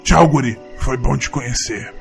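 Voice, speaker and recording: A man with a Brazilian accent voicing a rustic forest troglodyte in an audio drama, a gruff but fond mentor with a gravelly voice and plain, ungrammatical speech. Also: medium pitch, normal speed, faint music behind, lightly acted. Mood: fond farewell. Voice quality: gravelly, creaky. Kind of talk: audio drama character acting.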